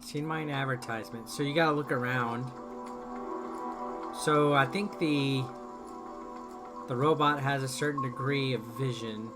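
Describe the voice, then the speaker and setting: A man's voice: mid-range voice, steady tone, a little slow, long pauses. A man doing casual, playful gameplay commentary as a streamer, sometimes reading on-screen text aloud. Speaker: video game streamer. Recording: game music behind the voice. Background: game music.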